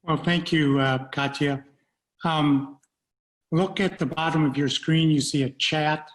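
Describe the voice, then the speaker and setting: A man moderates a panel on a video call and gives the audience instructions in a measured way. He speaks slowly, and his pitch sits a little high. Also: fairly even intonation, low-quality recording. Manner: measured, organizing.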